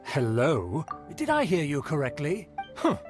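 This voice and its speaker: A man's voice: fairly high pitch, huge pitch swings, unhurried. Pompous, swooping, haughty nobleman. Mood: disdainful. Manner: pompous, disdainful, formal diction. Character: haughty, temperamental aristocrat man of a declining clan. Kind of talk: anime fantasy game acting. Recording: loud music under the voice.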